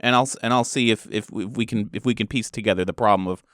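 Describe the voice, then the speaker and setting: A man's voice: lowish voice, quick pace, a bit bright, no room sound, studio quality. A man playing an improvised tabletop game, speaking in a polite, questioning and tentative way. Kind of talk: improvised in-character role-play and questions.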